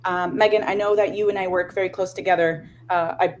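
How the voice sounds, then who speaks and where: Informal woman host with mellow voice. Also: fairly low pitch, steady tone, fairly quick, a little hiss or hum. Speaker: event host and panel moderator. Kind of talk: hosting an online panel discussion.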